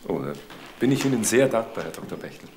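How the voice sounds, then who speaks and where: An actor playing a deferential, eager buyer of a noble title in a German crime radio drama, polite and creaky-voiced. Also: male voice, fairly low pitch, very animated, fast, a little mellow, a little hiss or hum. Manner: polite, obliging.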